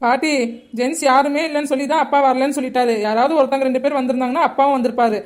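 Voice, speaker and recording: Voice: high-pitched, fairly even intonation, rapid-fire, lightly acted. A woman voicing an earnest little granddaughter.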